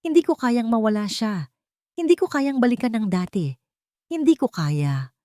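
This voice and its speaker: A woman's voice: on the high side, sing-song, moderate pace, mellow, dry recording, studio quality, voice pushed up. A woman voicing a defensive, desperate adult daughter in an audio drama story, pleading and making excuses. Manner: stammering excuses, emotionally pleading.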